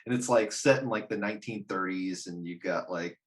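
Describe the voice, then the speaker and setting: A man's voice: on the low side, a little fast. A man chatting casually about pop culture in a rambling, sweary, self-mocking way. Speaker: podcast panelist. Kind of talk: casual chat about games and films.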